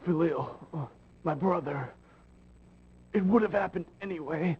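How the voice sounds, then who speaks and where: An actor playing a mortally wounded, defeated warrior in a video game, raspy, strained and gasping. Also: male voice, a little high, measured pace. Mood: pained resignation. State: dying, gasping, coughing. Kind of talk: dramatic video game cutscene acting. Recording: muffled old game audio.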